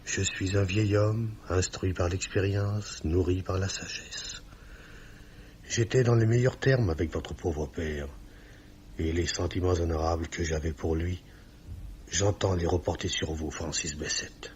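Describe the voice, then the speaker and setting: An actor playing a pious, solemn old office chief who forever quotes scripture, in 1950s radio drama style. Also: male voice, low-pitched, measured pace, darkish tone. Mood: solemn, paternal. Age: older. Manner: sententious, solemn, preachy. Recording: dull, noisy old radio recording.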